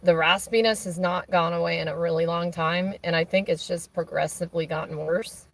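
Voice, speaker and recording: Woman, hoarse raspy voice, somewhat low, casual rambling stream chat. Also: steady tone, a little fast. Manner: rambling, full of fillers and restarts. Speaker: live-stream host. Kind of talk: casual chat on a phone call during a stream.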